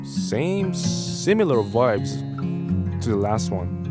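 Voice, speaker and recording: A man's voice: fairly low pitch, big pitch swings, takes it slowly. Casual Iranian-man reviewing tracks. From Iran. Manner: casual, friendly, thinks aloud with restarts. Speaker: host. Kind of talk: live-stream music feedback. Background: faint music playing.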